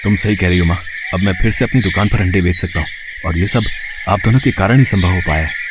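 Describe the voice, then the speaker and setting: A man's voice: sits low, fast, noisy background, close to an everyday voice. A man voicing a hardworking young egg seller in a storybook tale, dramatic and earnest. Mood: amazed, grateful.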